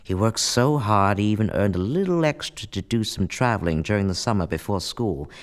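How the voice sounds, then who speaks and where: A senior angel played by a man in a radio play, with a fairly low voice. He is calm and in charge, measured and kindly as he gives instructions and tells a life story. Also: natural voice. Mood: storytelling.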